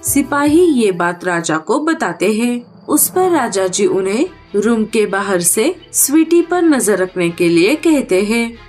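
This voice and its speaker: High-pitched, slow-paced woman storyteller. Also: very animated, darkish tone, faint music behind. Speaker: narrator. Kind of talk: scripted children's story narration.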